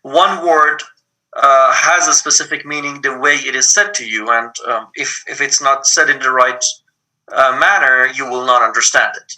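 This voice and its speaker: A man from Iran with a Persian accent, teaching an online programming lab and explaining things patiently with frequent pauses. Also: mid-range voice, fairly even intonation, sharp tone, low-quality recording. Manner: patient, explains step by step with many ums. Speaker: lab instructor. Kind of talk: live online coding lab.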